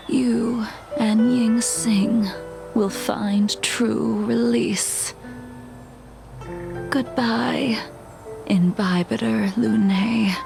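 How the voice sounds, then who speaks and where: Music plays under a slow-speaking, world-weary swordswoman from a video game. Her voice has a creaky edge, and she speaks gravely, as one haunted by the past and set on revenge. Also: medium pitch. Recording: background music under dialogue.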